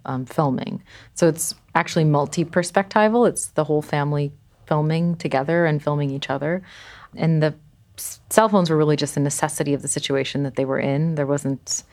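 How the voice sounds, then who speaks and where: Woman filmmaker, quite low voice, walks through things slowly and carefully, lots of um. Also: a little mellow. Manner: measured, explanatory, with thoughtful fillers. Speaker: filmmaker and researcher. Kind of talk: interview answers.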